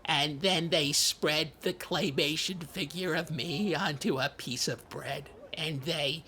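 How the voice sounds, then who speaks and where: A performer acting as a wounded, childlike comedy podcast host who is easily flustered. The voice is bright and tense, halting and earnest, over clear background noise. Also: medium pitch. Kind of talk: improvised deadpan comedy talk. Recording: noticeable background noise, lobby ambience.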